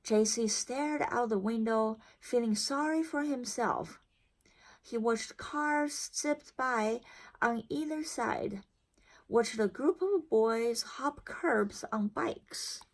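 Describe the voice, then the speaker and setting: A woman reading a children's storybook aloud in expressive, deliberately phrased narration. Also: a little high. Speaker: storybook reader.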